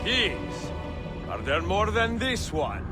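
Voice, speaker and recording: A fiery, protective assassin in a dramatic game scene. He speaks high and slowly with big swings in pitch, forceful and indignant, over loud music. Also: big pitch swings, full character voice. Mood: scornful. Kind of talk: dramatic video game cutscene acting.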